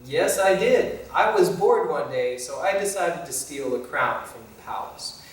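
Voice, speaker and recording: A man's voice: a little high, lively intonation, a little slow. A man playing an easygoing young fairytale thief, telling stories to children in a friendly way. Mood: easygoing, upbeat. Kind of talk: scripted children's educational presentation in character. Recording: very echoey room, distant mic, faint music.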